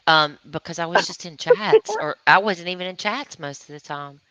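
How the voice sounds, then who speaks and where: A woman's voice: lowish voice, wildly swooping pitch, moderate pace, fairly bright tone, no echo, rough recording. A woman talking on a casual livestream, defensive and puzzled, often restarting her sentences.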